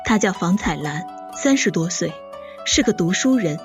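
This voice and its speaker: A woman's voice: on the low side, lively intonation, normal speed, natural voice. A woman playing a knowing storyteller in a period audio drama, giving a scripted account. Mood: knowing, expository. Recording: slightly dull, lo-fi audio. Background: faint plucked music.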